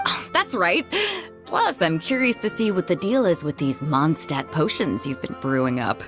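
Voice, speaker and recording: A sociable, self-assured woman sea captain in a video game. Her voice sits a little low and swings freely with a breezy, colloquial ease. Music plays clearly behind her. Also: lively intonation, moderate pace. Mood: upbeat, breezy. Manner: casual, easygoing, colloquial. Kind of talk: video game cutscene acting. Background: background music.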